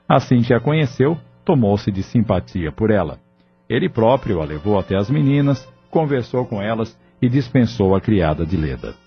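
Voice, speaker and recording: Guy narrating an audio novel, lowish husky voice, steady pace, muffled sound with soft music. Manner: steady, measured storytelling. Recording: dull lo-fi audio, faint music.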